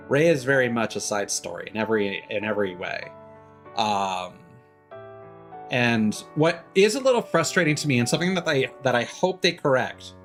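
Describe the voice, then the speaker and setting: A male streamer talks through a game in a casual, unscripted way. His mid-pitched voice wanders and halts as he thinks aloud, with game music playing behind him. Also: fairly bright tone. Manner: rambling, halting, thinking aloud. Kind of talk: casual live game commentary.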